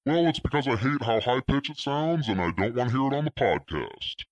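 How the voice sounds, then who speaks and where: A young man leaving a voicemail for a podcast, pushing his voice low and throaty, brash and teasing. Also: lively intonation, no room sound. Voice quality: voice deliberately lowered and throaty. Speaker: caller. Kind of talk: voicemail message.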